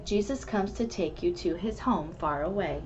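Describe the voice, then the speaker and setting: A woman's voice: fairly low pitch, moderate pace, darkish tone. A woman reading and explaining a children's story in an earnest, instructive manner. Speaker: storybook reader and host of a kids' club. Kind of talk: rhyming picture-book read-aloud, then casual explanation to children. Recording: muffled lo-fi sound with faint background noise.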